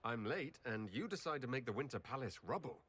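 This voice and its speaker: A man's voice: mid-range voice, lively intonation, fairly quick, fairly bright tone, husky. A man playing a kindly, dryly humorous hero leader in a game cutscene, speaking politely. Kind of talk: video game cutscene acting.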